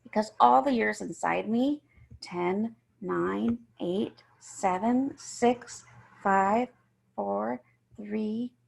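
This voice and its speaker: Female storyteller, mid-pitched and gravelly, reading a children's first-person tale with expressive emphasis. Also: drawn-out words, slight background noise, low-quality recording. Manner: emphatic, acts out the characters.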